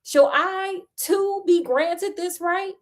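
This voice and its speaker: A woman's voice: very high voice, takes it slowly, voice pushed up. A woman voicing a king's loyal male servant in a fairy tale, solemn, earnest and formal. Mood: solemn pleading.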